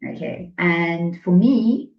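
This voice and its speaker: A woman's voice: on the low side, slow pace, dull audio. Roomy-sounding South African woman interviewer. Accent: South African English. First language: English. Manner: thoughtful, hesitant, searching for words. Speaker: podcast host. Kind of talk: interview conversation.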